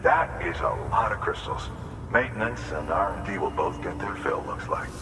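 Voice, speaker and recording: A man's voice: low voice, expressive, normal speed. A man acting an easygoing, folksy logistics official in a video game cutscene. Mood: casual, appreciative. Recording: echoey large hall, distant, noisy ambience.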